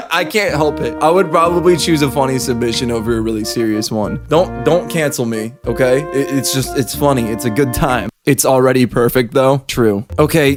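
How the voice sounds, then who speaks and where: A man hosting casual reaction commentary and judging auditions in a playful, candid, teasing way. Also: a little high. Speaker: video host judging voice auditions.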